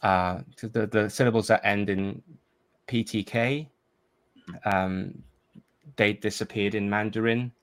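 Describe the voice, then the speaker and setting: A man whose first language is Cantonese, chatting as a podcast guest in a thoughtful, halting way with many fillers. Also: fairly low pitch, steady tone. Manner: thoughtful, hesitant with frequent fillers and restarts. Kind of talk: casual conversation.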